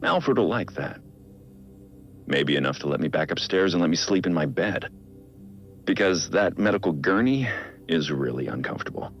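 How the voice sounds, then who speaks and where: A man playing a brooding, weary middle-aged billionaire vigilante, recording a reflective video game audio log in a deliberate, understated, gravelly voice. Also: low voice, lively intonation. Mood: weary, self-reflective. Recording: dull lo-fi audio log, music behind. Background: music.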